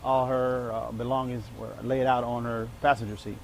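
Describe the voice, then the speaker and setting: A man interviewed as a local resident, speaking unscripted in a measured, hesitant way. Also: mid-range voice, level tone, a crowd in the background.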